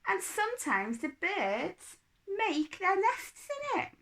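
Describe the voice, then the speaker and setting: Woman playing a haughty little storybook princess, bright and emphatic. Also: very high-pitched, sing-song, takes it slowly, voice pushed up, heavily acted. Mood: airy, superior. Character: imperious, boastful little princess, airy and fanciful.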